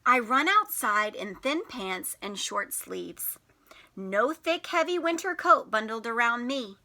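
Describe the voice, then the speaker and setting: A woman teacher reading a picture book to young children in an expressive, sing-song way, putting questions to them. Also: a little high, slow. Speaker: teacher and storytime host.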